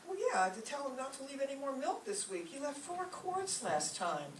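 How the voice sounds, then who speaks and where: A woman's voice: sits high, big pitch swings, normal speed. A woman playing a sensible, long-suffering roommate who spooks easily, with emphatic radio comedy delivery. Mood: exasperated. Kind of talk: live old-time radio comedy acting. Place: echoey room, mic at a distance. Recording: roomy, distant live stage recording, faint noise.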